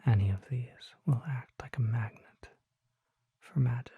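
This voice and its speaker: A performer voicing a mysterious, gentle and solemn vampire apothecary in a breathy, hushed whisper, with formal, deliberate phrasing for an ASMR roleplay. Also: medium pitch, dry recording, phone-quality sound.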